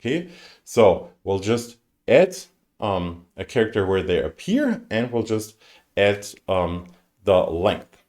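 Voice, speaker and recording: A man's voice: fairly low pitch, very animated, slow pace, darkish tone. A man in his forties, a native German speaker with a German accent, lecturing informally in English and thinking aloud with asides as he explains. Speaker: university lecturer. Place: indoors, live-streamed lecture over slides.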